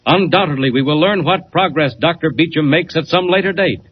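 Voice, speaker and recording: A man's voice: a little high, brisk, close to an everyday voice. A man as a dramatic announcer narrating a 1940s radio serial in a theatrical style. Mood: suspenseful. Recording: dull old radio transcription, faint noise.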